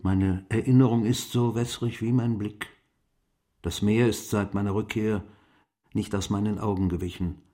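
A man playing a melancholy aged French explorer, brooding haltingly over his memories in a radio play monologue. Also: lowish voice, fairly even intonation, warm tone, lightly acted. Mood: weary, brooding.

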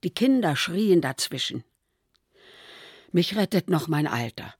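A woman's voice: lowish voice, big pitch swings, unhurried, a little mellow, slightly rough, no echo, exaggerated voice. Worried old mother, diary reading, dry close sound. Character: elderly mother, 77, anxious diarist.

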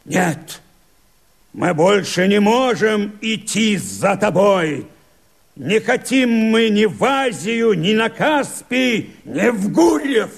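A weary Cossack mutineer speaks in theatrical radio-play verse. His voice sits fairly high for a man. He declaims slowly and emphatically, defiant toward his leader. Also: very animated, vocal fry, heavily acted. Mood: heated refusal.

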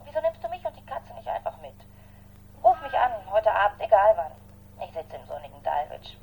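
A woman's voice: mid-range voice, very animated, brisk, vocal fry, darkish tone, a little hiss or hum, poor audio. An actress playing a chatty, effusive woman leaving a phone message in a radio drama. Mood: bubbly, pleading.

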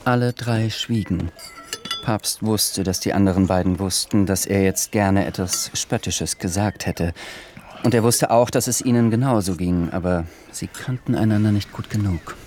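A man narrating a radio play in a measured, calm storytelling voice. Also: on the low side, a little hiss or hum.

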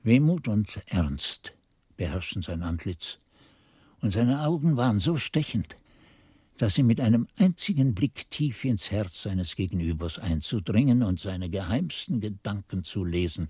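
A mellow male voice as a monk recounting memories in a radio drama. His literary narration swings widely in pitch, earnest and expressive, and is recorded dry and close. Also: slightly rough, dry recording, full character voice. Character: monk narrator, devoted pupil recalling his master.

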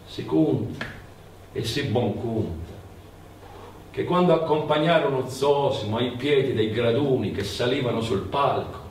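A man's voice: medium pitch, measured pace, a little mellow. A man narrating a folk tale theatrically, in a dramatic, incantatory manner with repeated phrases. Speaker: storyteller and stage actor. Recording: roomy, distant mic, faint background noise.

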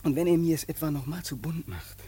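High-voiced, tormented, defiant young son. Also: male voice, expressive, fast talker, warm tone, husky. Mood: bitter, threatening. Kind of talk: radio drama acting.

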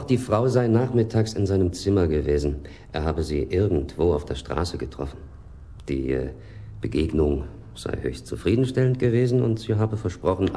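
An actor playing a polite, precise and exasperated hotel manager in a German radio crime drama, courteous yet disdainful. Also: male voice, low voice, mellow. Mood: precise, gossipy.

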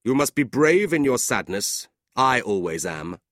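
A man's voice: mid-range voice, very animated, a bit bright, no echo, studio quality, voice pushed up. A man acting a cold, sneering older uncle. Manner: curt, sneering, drawn-out emphatic words. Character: cold, scornful, hard-hearted older businessman uncle.

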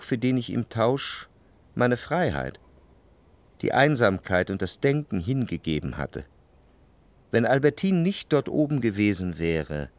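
An actor playing an introspective, jealous lover who narrates his memories in a measured, literary radio drama style. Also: fairly low pitch. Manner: reflective, measured literary delivery in long sentences.

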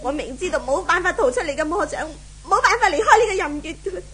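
Defiant young captive girl, hong kong cantonese, super high sharp voice, melodramatic old radio play. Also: lively intonation, fast, heavily acted. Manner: melodramatic, strained and emphatic. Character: defiant, anguished young female brothel captive. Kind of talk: 1970s radio drama acting.

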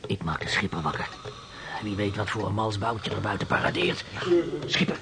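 An actor playing an excitable, stammering ship's cook in a period radio play. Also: male voice, lowish voice, moderate pace, gravelly. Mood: urgent. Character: excitable male ship's cook on a polar expedition. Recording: noisy old radio drama recording.

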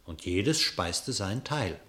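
A male narrator tells a verse fable for radio. His voice is a little low, with wide, animated swings and a declamatory storytelling delivery. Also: takes it slowly.

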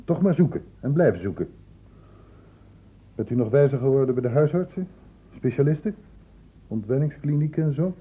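An actor playing a grave, authoritative male doctor in a radio drama, speaking formally and deliberately. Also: medium pitch, expressive, slightly rough. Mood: firm, probing. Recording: narrow, noisy old radio recording.

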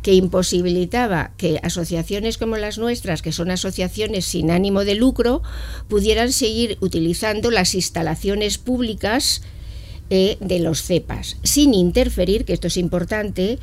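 Earnest woman explaining with many pauses. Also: medium pitch, darkish tone. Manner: earnest, explanatory, with frequent hesitations. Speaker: association representative. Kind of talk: radio studio interview. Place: radio studio.

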